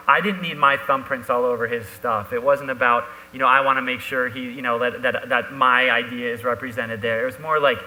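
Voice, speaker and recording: A man speaking as an author in a live panel conversation, haltingly, often restarting and repeating his words. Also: medium pitch, fairly even intonation. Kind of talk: live on-stage conversation about a book. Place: live event hall with an audience. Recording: echoey hall, bright, faint hum.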